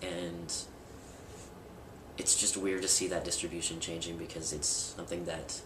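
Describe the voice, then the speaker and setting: A man's voice: sits low, flat delivery, normal speed. A young man talking candidly in a personal vlog, rambling a little with fillers and restarts. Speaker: vlogger. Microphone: camera mic at a distance. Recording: roomy, distant, with loud background noise.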